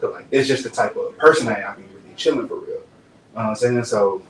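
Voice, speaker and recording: Brief: laid-back young rapper from Dallas, slangy and rambling, mic set back in an echoey room with faint hum. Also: male voice, medium pitch, quick pace, recorded from a distance. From Dallas, Texas. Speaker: rapper, podcast guest. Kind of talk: relaxed interview chat.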